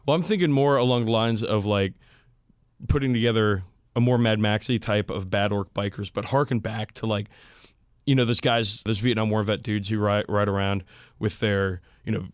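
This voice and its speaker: A man's voice: fairly low pitch, fairly even intonation, moderate pace, dry recording, studio quality. A man co-hosting a hobby podcast, talking casually and enthusiastically, with some swearing. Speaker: podcast co-host.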